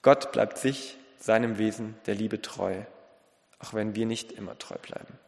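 A man delivering a sermon in an earnest, deliberate way, in short phrases with pauses and a slight lisp. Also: fairly low pitch, steady tone, gravelly. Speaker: preacher. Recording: long reverberant hall.

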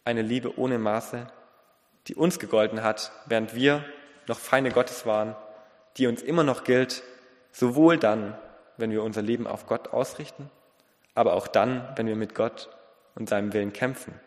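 A man delivering a sermon in an earnest, deliberate way, in short phrases with pauses and a slight lisp. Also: medium pitch. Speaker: preacher. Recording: long reverberant hall.

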